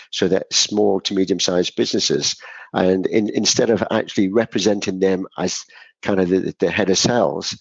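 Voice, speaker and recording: A middle-aged man from Scotland speaks in a very low, gravelly voice, answering interview questions at length. He strings thoughts together with "so" and "kind of", restarting now and then. The sound is dry and a little muffled. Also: dry recording. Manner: explains at length with frequent "so" and "kind of", some restarts. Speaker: guest, business growth consultant.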